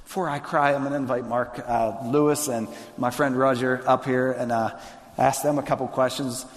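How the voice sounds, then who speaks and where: A man's voice: medium pitch, fairly even intonation, normal speed. A man who is a pastor, preaching earnestly and conversationally, often restarting his sentences. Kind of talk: sermon and onstage interview. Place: large hall with long reverb. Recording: long hall reverb.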